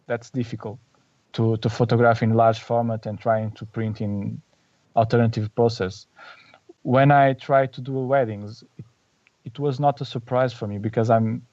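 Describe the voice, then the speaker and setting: A man's voice: mid-range voice, fairly even intonation, a little mellow, distant mic, muffled recording. A man from Portugal with a Portuguese accent, a photographer guest who often hunts for words and restarts his sentences. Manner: searching for words, halting restarts. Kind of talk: casual podcast interview.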